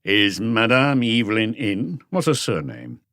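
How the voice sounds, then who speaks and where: A man voicing a calm, terse male chief inspector who questions witnesses in a detective audiobook. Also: lowish voice, unhurried, creaky, no echo, studio quality, full character voice. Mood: probing, businesslike.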